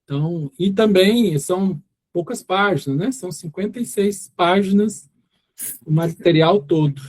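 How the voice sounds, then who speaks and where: A man's voice: high voice, unhurried, darkish tone. A middle-aged man with a Goiás accent, teaching an informal class and rambling, with many fillers and restarts. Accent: Goiás Brazilian Portuguese. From Goiás, Brazil. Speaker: course instructor.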